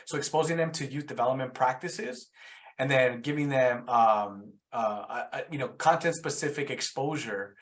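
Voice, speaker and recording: A man's voice: mid-range voice, moderate pace. A man talking as a guest speaker on a video-call webinar, hesitant and restarting with fillers. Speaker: youth program guest speaker. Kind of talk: webinar panel talk.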